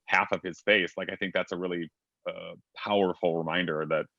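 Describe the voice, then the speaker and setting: A man moderating an online fan convention panel over a video call, agreeable and full of fillers and quick yeps. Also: deep voice, fairly even intonation, gravelly, pushed and bright, dry recording. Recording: slightly dull, dry online call audio.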